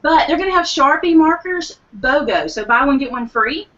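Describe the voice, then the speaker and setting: Eager woman host, bright, slightly high, losing her voice, lo-fi stream. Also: animated, moderate pace. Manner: enthusiastic deal announcer. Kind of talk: live online craft show chat.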